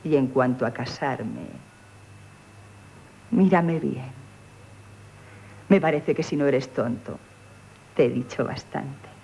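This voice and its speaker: A woman playing a proud, flirtatious, independent innkeeper in a radio comedy, self-assured and brisk, with a Castilian Spanish accent. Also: a bass voice, creaky, dark tone, a little hiss or hum, heavily acted. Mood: coaxing. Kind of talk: theatrical radio comedy acting.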